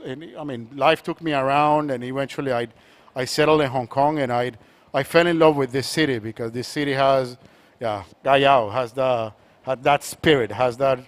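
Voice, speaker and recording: A man from Colombia, an art collector speaking on a panel, talking in a halting way with many repeated words and restarts. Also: mid-range voice, clear, resonant tone. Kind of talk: panel conversation.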